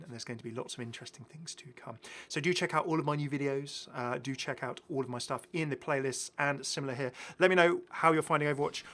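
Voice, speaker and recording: A man with a bright, forceful voice at a middle pitch hosts a gaming video. His commentary is casual and enthusiastic, full of chatty asides. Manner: chatty, prone to chuckling asides.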